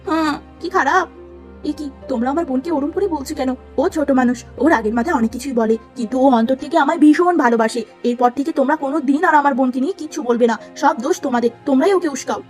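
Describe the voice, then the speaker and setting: Woman voicing kind elder sister, cartoon, soft caring tone, high voice, faint music bed. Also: fast, close to an everyday voice.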